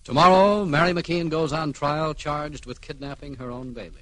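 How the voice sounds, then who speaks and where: A man narrating an old radio serial with portentous, measured emphasis. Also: a little high, sharp tone, dry recording, rough recording. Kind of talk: dramatic scripted narration, 1940s-50s radio.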